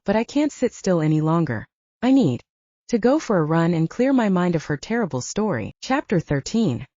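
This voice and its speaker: Woman narrator, lively pitch, mellow tone. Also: no echo, muffled recording. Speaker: audiobook narrator. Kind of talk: scripted fiction narration in the first person.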